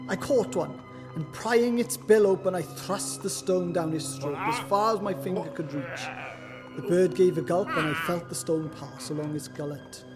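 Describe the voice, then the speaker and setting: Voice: mid-range voice, natural voice. An actor playing a cowardly, whining manservant turned thief in a festive radio play, pleading and flustered. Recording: long hall reverb, loud music underneath.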